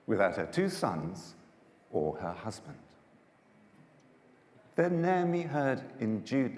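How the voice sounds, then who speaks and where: A man reading a Bible story as a dramatised telling, with deliberate, theatrical phrasing and dramatic pauses. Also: lowish voice, darkish tone. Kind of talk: dramatised scripture storytelling to a congregation. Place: large church auditorium. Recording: echoey church hall, long reverb.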